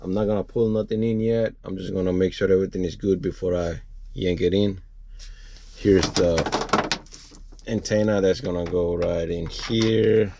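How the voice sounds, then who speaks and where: A man giving a casual, unscripted how-to for a vlog, rambling and thinking out loud as he works. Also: low-pitched, steady tone, moderate pace, gravelly, a little hiss or hum. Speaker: vlogger showing a DIY truck installation.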